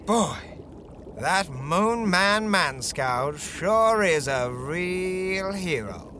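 Man playing a high-voiced, swooning reporter. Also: lively intonation, drawn-out words, bright, forceful tone, a little hiss or hum, full character voice. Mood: swooning admiration. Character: swooning male reporter, catty and admiring of heroes. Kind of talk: 1930s radio serial acting. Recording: music behind the voice.

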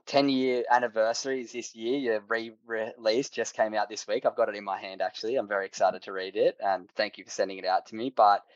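A man's voice: fairly low pitch, fairly even intonation, brisk, few pauses, no room sound, muffled recording. A man hosting a podcast interview, asking friendly, enthusiastic, rambling questions. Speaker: podcast host.

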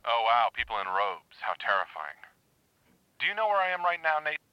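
A man's voice: medium pitch, very animated, normal speed, clear, resonant tone, no room sound. A man playing an exasperated, sarcastic police employee in an audio drama, heard through a telephone filter. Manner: sarcastic, pointed. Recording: dull muffled phone line.

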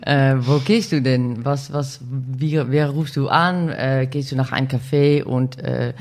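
A Dutch woman in her forties with a Dutch accent, a podcast guest speaking halting German, searching for words with many fillers. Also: extremely low pitch, fairly even intonation, a little mellow. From Netherlands. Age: 40s. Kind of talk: casual interview chat.

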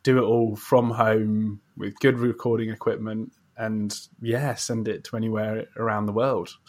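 A man's voice: on the low side, steady tone, measured pace. A man hosting a podcast interview in a casual, chatty style, agreeable and encouraging as he talks.

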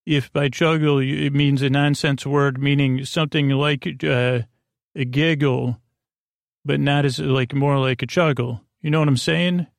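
An American man hosting a sleep podcast, with a creaky voice and a soothing, meandering, hesitant delivery full of repeats and tangents. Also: mid-range voice, fairly even intonation, a little slow, dry recording, studio quality. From United States. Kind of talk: rambling, soothing bedtime podcast monologue.

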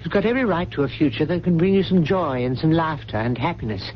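An actor playing a devoted, dying older butler in a radio drama, speaking in a grave, courteous and earnest way. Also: male voice, a little high, animated, fast, lightly acted. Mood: tender, earnest. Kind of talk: 1970s radio drama acting. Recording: dull, noisy 1970s radio recording.

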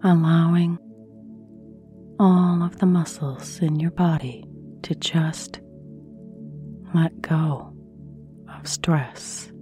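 Very slow, soothing woman guiding sleep meditation. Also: deep voice, steady tone, warm tone, faint music behind. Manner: breathy, near-whispered phrase endings, long pauses. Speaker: guided meditation narrator.

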